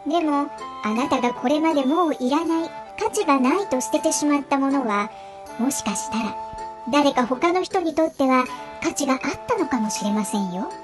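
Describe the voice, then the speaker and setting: A woman voicing a calm, wise goddess of mercy in a cartoon storybook, speaking politely and with measured admonishment. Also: high-pitched. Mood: serene admonishing. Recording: music playing behind the voice.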